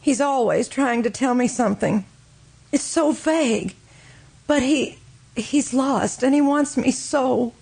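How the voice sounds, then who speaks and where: Woman acting a heartbroken young widow, real high voice, obsessive and pleading, old radio melodrama style. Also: slow pace, vocal fry, darkish tone, breathy edge, full character voice. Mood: mournful. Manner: fervent, melodramatic, insistent.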